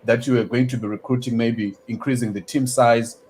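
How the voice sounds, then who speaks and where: A man's voice: medium pitch, fairly even intonation, a little fast, darkish tone. A man hosting an interview, asking questions politely with some stammered restarts. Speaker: interview host. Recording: roomy sound with faint noise.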